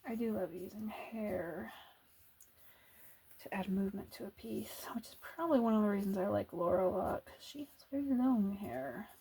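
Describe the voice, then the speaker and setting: A woman artist chatting in a soft, relaxed ASMR style while she draws, musing about her work. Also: mid-range voice, moderate pace, creaky, slight background noise, poor audio. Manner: relaxed, musing, self-reflective. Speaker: artist drawing on camera. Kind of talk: soft-spoken ASMR chat while drawing.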